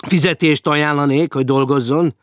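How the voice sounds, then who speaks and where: A man's voice: a little high, fairly even intonation, dry recording, clean studio sound, pitched above the performer's own voice, natural voice. Man voicing a stern hotel official. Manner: stern and commanding.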